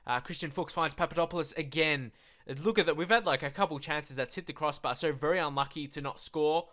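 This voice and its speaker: A man's voice: a little high, a little fast, a bit bright. A young man with a blocked nose giving casual, rambling live commentary over a football management game, full of fillers. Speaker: gaming YouTuber.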